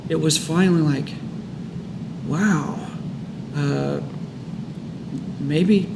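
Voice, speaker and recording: A man's voice: on the high side, drawn-out words, vocal fry. A man co-hosting in a casual, reflective way. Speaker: co-host of a recovery book study. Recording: reverberant room with background noise.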